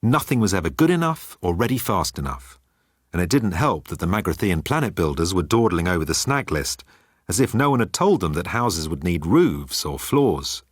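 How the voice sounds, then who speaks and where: A man's voice: low voice, sing-song, moderate pace, slightly rough, dry recording, studio quality. A man reading scripted comic science fiction as an audiobook narrator.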